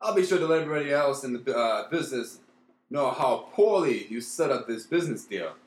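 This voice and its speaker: A man's voice: medium pitch, expressive, a little fast, lightly acted. A man playing a flustered, earnest radio producer who stammers and hesitates, in a mock old-time radio play recorded in an echoey room. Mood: hesitant, indignant.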